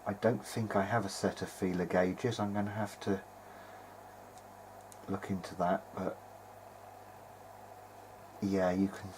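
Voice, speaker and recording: Bloke kinda muttering through a repair vid, lowish voice, bit of noise behind him. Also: male voice, little pitch movement, takes it slowly, pauses often, a little mellow, low-quality recording. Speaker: vintage hi-fi hobbyist narrating own repair video. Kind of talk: informal hands-on repair video diary.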